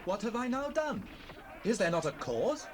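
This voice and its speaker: An actor playing a bold young shepherd full of faith, speaking earnestly and fervently in a dramatized Bible recording. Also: male voice, sits high, very animated, moderate pace. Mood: indignant. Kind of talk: dramatized Bible audio acting. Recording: faintly noisy cassette rip.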